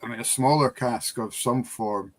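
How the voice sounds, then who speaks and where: A man's voice: mid-range voice, normal speed. A man co-hosting a casual chat, throwing in dry, joking asides and questions. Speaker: whisky shop co-host.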